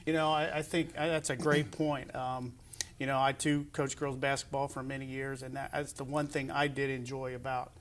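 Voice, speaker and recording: A man's voice: mid-range voice, level tone, normal speed. A middle-aged man speaking as an athletic director hosting a local sports interview show. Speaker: host, high school athletic director.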